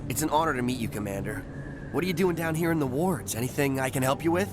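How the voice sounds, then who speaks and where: Man playing an eager young rookie cop, mid-pitched. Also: animated, fast talker, background noise, lightly acted. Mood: starstruck. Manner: chatty, boyish enthusiasm, deferential. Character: eager, starstruck young human rookie policeman. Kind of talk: natural video game acting.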